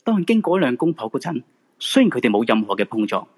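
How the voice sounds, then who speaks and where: A man's voice: medium pitch, big pitch swings, fast, slightly rough. A man with a Hong Kong Cantonese accent narrating a scripted ghost-story radio drama. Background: background music.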